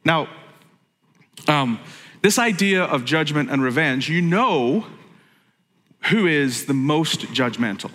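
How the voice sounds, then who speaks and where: Northern Irish pastor, bright, in a hall. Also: male voice, on the high side, animated, slow pace, long pauses. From Northern Ireland. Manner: jokey, conversational, self-interrupting. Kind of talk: sermon.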